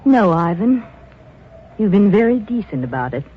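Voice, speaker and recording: A woman's voice: medium pitch, sing-song, normal speed, slightly rough. An actress playing a polite society woman in an old radio drama, talking in a lilting way. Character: genteel society woman, ex-wife posing. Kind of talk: 1940s radio acting. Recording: dull lo-fi old radio recording.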